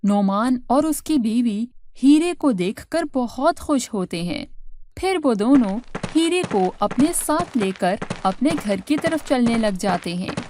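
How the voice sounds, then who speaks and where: A woman's voice: fairly high pitch, lively intonation, slow pace, darkish tone. A woman reading scripted narration for a children's story.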